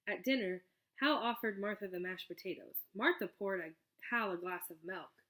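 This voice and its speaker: A woman narrating a children's storybook aloud in an expressive, scripted read-aloud style. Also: mid-range voice, moderate pace. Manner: expressive storybook reading with character dialogue.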